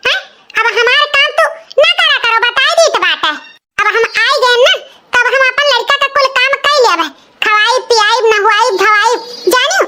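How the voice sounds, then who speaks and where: A man's voice: extremely high pitch, moderate pace, background noise. A man voicing a vain, jealous young village woman in a comic cartoon, with an Awadhi accent and a nagging, taunting manner. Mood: haughty, scolding. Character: vain, jealous, scolding young village sister-in-law, a mother.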